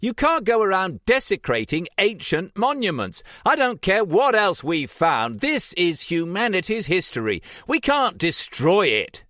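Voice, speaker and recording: A man voicing an indignant young woman who shouts in outrage to protect ancient relics. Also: mid-range voice, huge pitch swings, moderate pace, few pauses, dry recording, studio quality, pitched above the performer's own voice. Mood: outraged.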